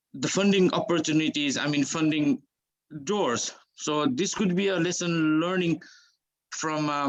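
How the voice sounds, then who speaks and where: A man with a Nepali accent and a fairly high voice answers as a workshop panelist. English is not his first language, so his speech is halting, with pauses and restarts while he searches for words. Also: fairly bright tone, rough recording.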